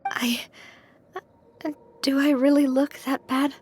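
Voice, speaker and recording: A woman's voice: high-pitched, level tone, a little hiss or hum. A woman acting a weary, sincere young woman leader full of self-doubt, speaking hesitantly in a video game. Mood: uneasy, hesitant. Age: young adult. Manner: hesitant, halting, soft-spoken.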